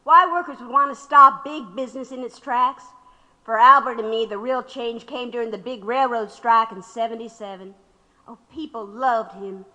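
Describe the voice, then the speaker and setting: A woman's voice: a little high, sharp tone, big-room sound, poor audio. An actress playing a sardonic, unyielding older woman labor radical under questioning in an audio drama.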